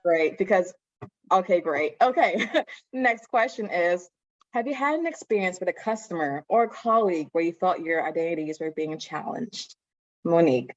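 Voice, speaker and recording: A woman hosts a panel with a quick, teasing manner, reacting openly to each speaker. Her voice sits a little low. The sound is dull and lo-fi, like a video call. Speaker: panel moderator. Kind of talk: lively panel hosting.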